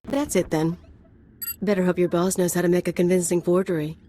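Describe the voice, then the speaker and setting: An actress playing a brash, ambitious young mercenary in a video game, with a sly, sardonic drawl. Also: female voice, on the low side, flat delivery, a little fast, mellow, close to an everyday voice. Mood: taunting. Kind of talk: video game character dialogue.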